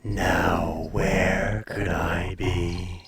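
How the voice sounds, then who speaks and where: A man playing an ancient, proud dragon in a fantasy audio roleplay, speaking formally and deliberately with a calm menace. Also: deep voice, noisy background. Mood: brooding, puzzled. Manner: slow, formal, deliberate speech with long pauses. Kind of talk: scripted fantasy audio roleplay, addressed to listener.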